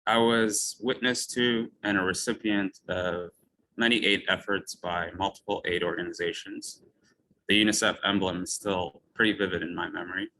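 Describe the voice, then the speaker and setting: Low bright-voiced man from Ethiopia lecturing. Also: steady tone, slight background noise, poor audio. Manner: measured, personal storytelling. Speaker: physician speaker. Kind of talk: lecture talk.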